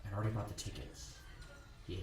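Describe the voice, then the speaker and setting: A man playing a guarded, hurt 24-year-old college athlete in an audio drama interview, speaking casually and haltingly. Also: on the low side, level tone, natural voice. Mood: hesitant, regretful. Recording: very echoey, distant from the microphone.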